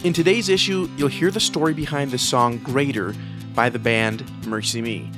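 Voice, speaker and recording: A man's voice: mid-range voice, steady tone, normal speed. A man hosting a music magazine show, speaking as presenter and interviewer. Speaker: host and interviewer.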